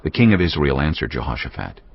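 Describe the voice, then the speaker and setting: A man with a gravelly, husky voice giving dramatic scripted narration of scripture. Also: rumbling low, big pitch swings, quick pace, highs cut off, a little hiss or hum.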